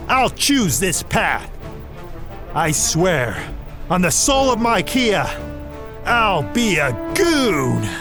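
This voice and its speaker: Reluctant young henchman played by a man, high voice, exasperated, sarcastic, faint music, audio drama. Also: very animated, drawn-out words, long pauses, full character voice. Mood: defiant. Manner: awkward, put-upon, sarcastic.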